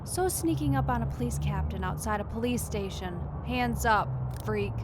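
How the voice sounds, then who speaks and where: A woman playing a tough, sarcastic, weary female police captain in an audio drama, gruff and confrontational. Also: fairly high pitch, lively intonation, normal speed, very noisy, close to an everyday voice. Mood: threatening.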